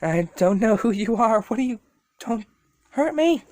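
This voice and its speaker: An amateur narrator voicing an earnest, idealistic young male hero, pitched high and pleading in a spoken fan story. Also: expressive, measured pace, no echo. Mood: scared.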